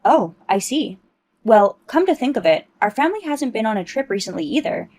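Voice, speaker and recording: A woman's voice: a little high, sing-song, a little fast, a little mellow. A woman voicing a snobbish, boastful rich mom who talks down to others. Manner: haughty, condescending.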